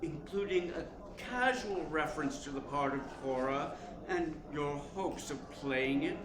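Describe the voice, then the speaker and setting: A man's voice: fairly high pitch, lively intonation, measured pace, crowd noise. A man playing a suave, cynical theater critic with a dry, pointed delivery in a radio play. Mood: dry, menacing. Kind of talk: stage-style radio play acting and narration. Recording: echoey room, clear background noise.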